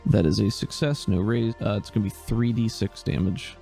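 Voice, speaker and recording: A man running a tabletop game with a low, gravelly voice. He talks in a relaxed, halting way, full of fillers and restarts. Faint music plays behind him. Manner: relaxed, hesitant, thinks aloud with fillers. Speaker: game master.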